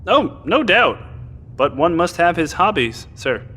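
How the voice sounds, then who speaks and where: A man's voice: a little high, very animated, unhurried, pushed and bright, slightly rough, heavily acted. An actor playing a deferential, dutiful male soldier with a dry wit in a naturalistic audio drama. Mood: wry.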